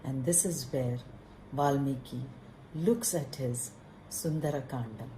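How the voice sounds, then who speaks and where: A woman narrating a puppet-show story in measured, short dramatic phrases. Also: rumbling low, mellow, slight background noise. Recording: roomy echo.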